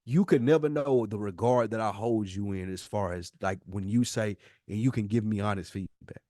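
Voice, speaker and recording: A man's voice: fairly low pitch, moderate pace, dark tone, no room sound, clean studio sound. An American man co-hosting a podcast in a candid heart-to-heart, speaking earnestly and emphatically and repeating himself to make his point. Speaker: podcast co-host.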